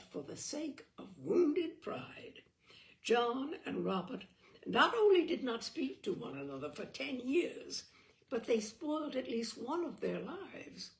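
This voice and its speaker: Woman reading an old-fashioned story, really lively up and down, gravelly edge, dramatic pauses. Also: a little high. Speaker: audiobook reader and storyteller. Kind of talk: expressive literary story narration.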